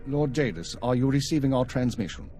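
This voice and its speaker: An actor playing a stern, formal intelligence chief giving a measured, authoritative briefing in a video game. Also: male voice, medium pitch, lively intonation, warm tone, faint music behind, natural voice.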